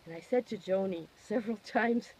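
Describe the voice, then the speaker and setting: A woman giving a personal testimonial in an expressive, emphatic way, posing questions and answering them herself. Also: mid-range voice, normal speed, slight background noise, low-quality recording.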